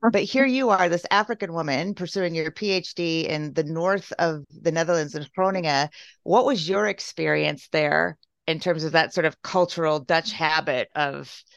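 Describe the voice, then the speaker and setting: A woman from the United States hosts a podcast and asks long, effusive, winding questions. Her voice is low and full of vocal fry, and the audio sounds muffled and dry. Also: moderate pace, no echo. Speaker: podcast host. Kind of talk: casual interview.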